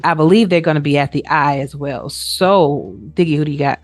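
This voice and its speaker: A woman's voice: low-pitched, brisk. A woman co-hosting a sports show, giving a casual recap in informal, colloquial phrasing. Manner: informal, colloquial phrasing with trailing "you know". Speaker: co-host of a sports league show, also a player. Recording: roomy echo, music behind.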